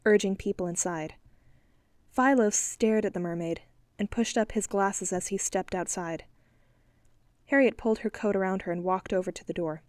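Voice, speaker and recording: A woman narrating a noir audiobook in a steady, clear voice of middle pitch. The sound is dry and close, with faint music underneath. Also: dry recording. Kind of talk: scripted narration.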